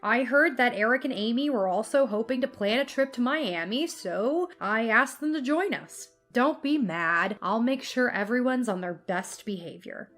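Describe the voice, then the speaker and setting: A woman's voice: a little high, expressive, moderate pace, hardly any pauses, fairly bright tone, soft background music. A woman voicing a concerned, placating husband and father in a dramatized story.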